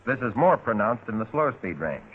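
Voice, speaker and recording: A man's voice: fairly low pitch, very animated, breathy edge. Tinny 1940s American training film narrator, male. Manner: measured, precise, instructive. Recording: tinny narrow-band 1940s film soundtrack.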